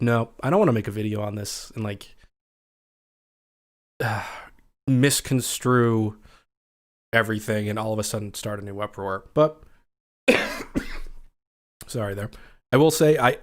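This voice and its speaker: Scratchy-voiced man hosting a livestream. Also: medium pitch. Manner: chatty, reads out viewer comments. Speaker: livestream host. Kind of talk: casual livestream chat.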